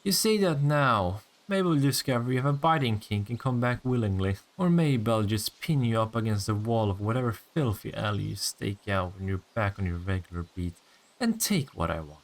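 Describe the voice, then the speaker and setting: A man's voice: lowish voice, expressive. Man playing a smug, teasing vampire, swooping intonation. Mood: menacing, flirtatious. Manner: sardonic, teasing, swearing, drawn-out taunts. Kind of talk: intimate audio roleplay acting.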